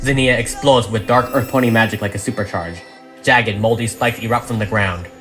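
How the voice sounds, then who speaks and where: A narrator with a mid-pitched voice reads an audio drama script in a dramatic storytelling style. Music plays behind the narration. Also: fairly quick, fairly bright tone. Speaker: narrator reading all parts of a fan audio drama. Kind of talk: dramatic script reading narration with stage directions. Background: background music.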